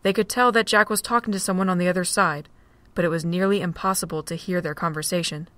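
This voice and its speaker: A woman's voice: on the low side, fairly even intonation, fast, a bit bright, no room sound. Woman narrating, dry close sound. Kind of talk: storybook narration.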